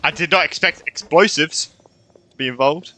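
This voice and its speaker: A man's voice: on the high side, normal speed, pushed and bright. A man reacting to a story game as a streamer, blunt, exclamatory and sweary. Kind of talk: reactive gameplay commentary. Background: game music.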